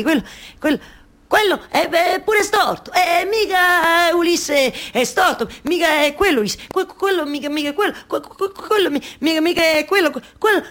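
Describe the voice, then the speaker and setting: Anxious waiting wife in a radio play, slow n halting, repeats herself, highish voice, guitar behind. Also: female voice, fairly bright tone, full character voice. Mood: agitated denial. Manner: halting, obsessively repeating words and phrases. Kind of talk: theatrical radio monologue over live music. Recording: live guitar music behind the voice. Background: plucked guitar music.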